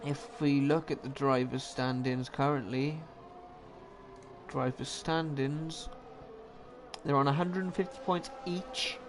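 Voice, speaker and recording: A man's voice: mid-range voice, slow, long pauses. A young Welsh man giving live, chatty commentary on an online racing league, often joking at his own expense and correcting himself. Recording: steady background noise.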